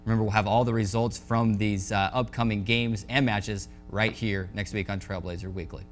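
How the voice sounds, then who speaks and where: A man's voice: on the low side, fairly even intonation, normal speed, soft background music. A man hosting a college sports show, delivering a scripted sportscast in an announcer's style.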